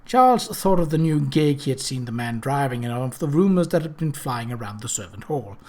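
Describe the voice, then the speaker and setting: A man reading a scripted story aloud as an audiobook narrator, with an expressive, dramatic delivery. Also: mid-range voice, fairly quick. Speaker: audiobook reader.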